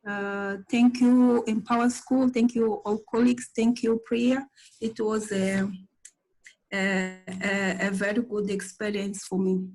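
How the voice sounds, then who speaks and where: A woman from Mozambique, a Portuguese speaker with a Mozambican Portuguese accent, giving a halting thank-you speech as a graduate. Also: mid-range voice, flat delivery, darkish tone, low-quality recording. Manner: slow, halting, with many fillers.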